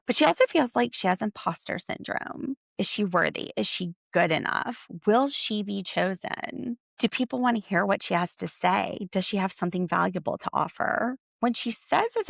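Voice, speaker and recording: An American woman hosting a podcast, giving casual, opinionated commentary in an animated, sarcastic, slangy way. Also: mid-range voice, gravelly, no room sound. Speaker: podcast host.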